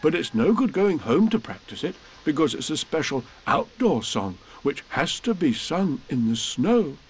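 A man voicing a cheerful, muddled male bear with a slow, earnest way of talking. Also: on the high side, sing-song, breathy edge, voice pushed up, poor audio.

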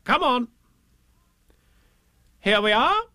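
A man voicing a bossy, self-important rabbit in a storybook, with a brisk, commanding and falsely confident manner. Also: very high-pitched, very animated, bright, forceful tone, clear, resonant tone, dry recording, clean studio sound, pitched above the performer's own voice, exaggerated voice. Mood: bossy, impatient.

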